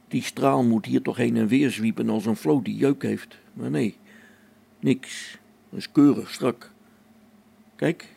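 A man's voice: medium pitch, gravelly, dark tone, slightly rough, heavily acted. An actor playing a harried space station engineer in a radio play, thinking aloud as he reasons things out. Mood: baffled.

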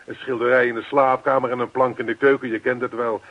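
An actor playing a jovial, pushy middle-aged neighbour in a radio play, hearty, chummy and insistent. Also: male voice, medium pitch, little pitch movement, quick pace, close to an everyday voice. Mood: chatty, coaxing. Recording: dull lo-fi radio play recording.

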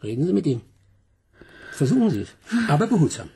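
Radio drama: cheeky male doctor, animated and raspy. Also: a little high, a little fast, full character voice. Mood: playful. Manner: teasing, playful. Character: teasing, flirtatious male doctor.

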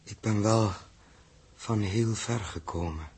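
An actor playing a bewildered space captain of twenty-eight, halting and weary, in a 1960s radio drama. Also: male voice, fairly low pitch, a little mellow. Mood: dazed, bewildered. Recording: noisy old radio recording.

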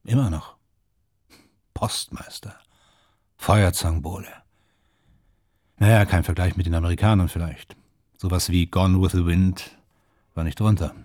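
An actor playing an older film insider who reminisces wryly in halting, musing fragments, with a husky voice, in a radio drama. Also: male voice, sits low, fairly even intonation, darkish tone, no echo, studio quality. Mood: nostalgic, musing. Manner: halting, musing, short fragments, chuckling asides. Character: old German film insider wryly reminiscing about bygone days.

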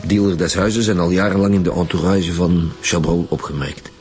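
A male actor as a police detective in a radio crime serial, with a Flemish accent and a lowish voice. He is wry and presses his point in a natural way, over light music. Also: little pitch movement, moderate pace, darkish tone, lightly acted. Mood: matter-of-fact, wry. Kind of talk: naturalistic radio drama acting.

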